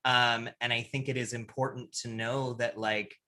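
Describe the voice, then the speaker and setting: A man talking casually as a guest on a charity livestream, loud and animated, full of fillers. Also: mid-range voice, normal speed. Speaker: guest creator.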